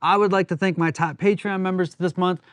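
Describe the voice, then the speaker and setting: A man's voice: sits high, steady tone, fairly quick, a bit bright, clear, resonant tone, no echo. A man hosting a woodworking vlog, explaining things in an informal, plain-spoken way. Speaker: host of a woodworking channel. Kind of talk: casual workshop vlog narration.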